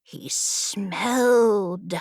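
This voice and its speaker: A woman's voice: mid-range voice, drawn-out words, a little mellow, no echo, clean studio sound. A woman playing a storyteller who holds children rapt at a campfire, theatrical and emphatic, in an audiobook.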